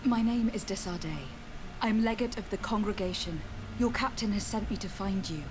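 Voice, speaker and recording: A woman's voice: mid-range voice, moderate pace, natural voice. An actress playing a composed, principled noblewoman legate in a video game, speaking with formal, firm courtesy. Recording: clear background noise from game ambience.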